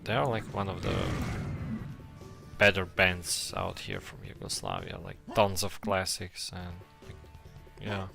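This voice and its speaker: A low, gravelly male voice with a Serbian accent, talking loosely and chattily as he plays a game live and answers his chat. His first language is Serbian, and he laughs easily. Clear game music plays behind him. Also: big pitch swings, unhurried, pushed and bright. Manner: chatty, reads and thanks viewers, laughs often. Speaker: game streamer. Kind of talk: casual live-stream chat while gaming.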